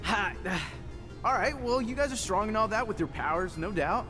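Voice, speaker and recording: An actor playing an affable, folksy young soldier in a video game, easygoing and a little hesitant. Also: male voice, high voice, animated, moderate pace. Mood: good-natured, sheepish. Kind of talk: video game dialogue acting. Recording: music behind the voice.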